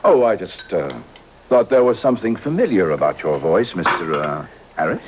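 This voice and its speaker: A muffled old radio recording with faint noise carries a nervous killer. He is guarded, formal and evasive, halting over his words. His low voice swings widely in pitch. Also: big pitch swings. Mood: suspicious, uneasy. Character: nervous, evasive murderer cornered by an investigator. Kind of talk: old-time radio drama acting.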